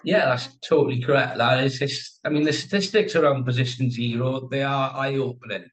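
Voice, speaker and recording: A man's voice: mid-range voice, moderate pace. Upbeat Welsh man presenting a workshop. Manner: upbeat, quotes statistics, invites the audience to join in. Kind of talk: live online workshop talk.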